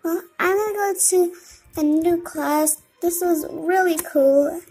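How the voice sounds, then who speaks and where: A woman's voice: very high-pitched, slow, a little mellow. A young girl giving casual gameplay commentary, thinking aloud in a childlike murmur to herself. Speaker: gamer. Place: indoors at a computer, screen filmed by camera.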